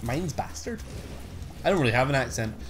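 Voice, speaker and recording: A man's voice: medium pitch, animated, a little fast, soft background music. A man with a mild Scottish accent, chatting in a laid-back, giggly way while streaming a game. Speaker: streamer co-host.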